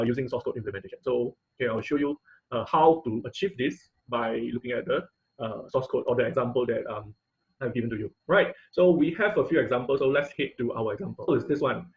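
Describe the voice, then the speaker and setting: A man giving an informal live technical tutorial as an online class instructor, halting and repeating words, often checking in with "okay" and "right". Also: mid-range voice, rough recording. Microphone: online video call.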